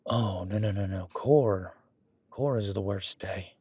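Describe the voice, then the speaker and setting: A man's voice: lowish voice, takes it slowly, warm tone, husky, dry recording. A man playing a friendly, easygoing werewolf boyfriend who coaches his date at the gym in a casual, encouraging, rambling roleplay audio. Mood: teasing. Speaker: gym partner giving workout guidance. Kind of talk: roleplay audio drama, one-sided casual conversation.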